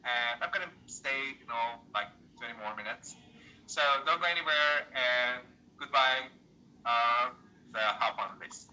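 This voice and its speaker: A man's voice: medium pitch, a little slow, pushed and bright, rough recording. A man hosting a live English conversation lesson, chatty and playful, teasing his guest and laughing often. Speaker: English teacher, livestream host. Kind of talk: casual live lesson chat.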